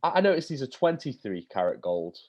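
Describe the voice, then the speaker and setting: A man's voice: mid-range voice, big pitch swings, fairly quick, a little mellow, muffled recording. A man co-hosting a casual chat, bunged up and sniffly with a cold. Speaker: co-host.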